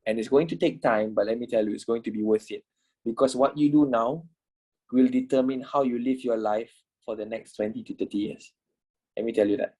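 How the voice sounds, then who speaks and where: A man's voice: mid-range voice, fairly even intonation, brisk, dark tone, poor audio. A man in his twenties teaching a casual trading class, swearing, repeating himself and asking "you know?" a lot. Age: 20s. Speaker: trading instructor. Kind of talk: casual online class wrap-up.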